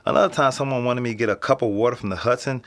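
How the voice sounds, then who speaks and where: A man's voice: mid-range voice, rapid-fire, fairly bright tone, natural voice. A young man with an American accent playing a casual, slangy, streetwise teenage boy being interviewed in a naturalistic audio drama. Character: nonchalant streetwise teenage boy living in an apartment building.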